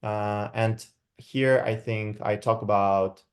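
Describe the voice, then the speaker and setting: A man's voice: lowish voice, steady tone. A young man from Kazakhstan, giving a reflective academic guest talk and often checking in with his listeners. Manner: checks in with frequent tag questions; measured, reflective, with many fillers. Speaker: guest lecturer. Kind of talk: academic talk walking through slides.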